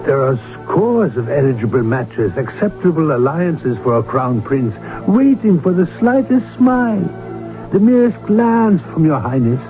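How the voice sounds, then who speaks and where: Fussy obsequious court doctor, bit high voiced, dry, old radio drama, tinny w music. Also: male voice, sing-song, unhurried. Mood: deferential, dry. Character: deferential, dryly candid doctor attending a prince. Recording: tinny vintage radio broadcast, music behind.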